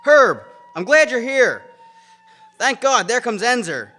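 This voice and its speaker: Man playing high-voiced earnest constable. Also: sing-song, slow, pushed and bright, soft background music, heavily acted. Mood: urgent. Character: police constable, earnest, matter-of-fact man. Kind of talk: radio drama acting.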